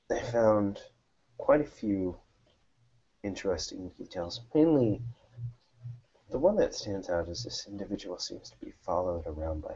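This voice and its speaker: A man with a deep voice hosts a tabletop roleplaying session. He takes his time, setting out the recap in short, halting phrases. The sound is slightly muffled. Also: a little mellow, slightly rough. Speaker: game master and host.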